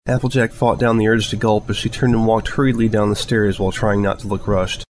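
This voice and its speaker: A man narrates fiction in a fairly low voice. He reads as a storyteller from a script. Some background noise sits behind him. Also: level tone, a little fast, a little mellow.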